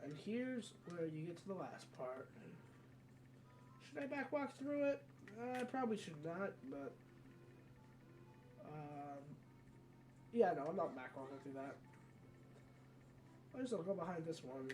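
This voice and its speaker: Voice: mid-range voice, very animated, measured pace, pauses often, a little mellow, faint music behind. A video game let's player giving casual, rambling commentary and often correcting themselves mid-sentence. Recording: mic at a distance, background noise.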